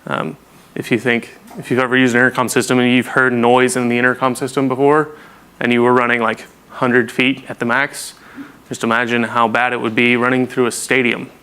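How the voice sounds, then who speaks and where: A man's voice: mid-range voice, flat delivery, fairly quick, pushed and bright, smooth. A man presenting an informal technical lesson, explaining things in a casual, plain-spoken way. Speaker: presenter of a technical training session.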